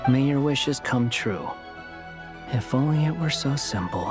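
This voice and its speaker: A man voicing an aloof, guarded young puppet wanderer in a video game, speaking in a cool, measured way. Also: mid-range voice, animated, close to an everyday voice. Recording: game music behind.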